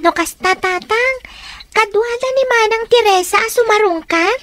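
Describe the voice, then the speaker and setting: Girlish daughter, very high voice, plaintive, childlike, radio drama style. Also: moderate pace, noisy background. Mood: earnest. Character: young daughter, girlish and pleading with her father. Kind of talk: Ilocano radio drama acting.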